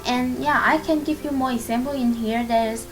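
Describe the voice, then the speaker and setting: Chinese-accented woman teaching, slightly high voice. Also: fairly even intonation, faint music behind, poor audio. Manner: halting, searching for English words, many fillers; encouraging, reassuring. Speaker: language teacher and channel host. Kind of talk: casual spoken lesson to camera.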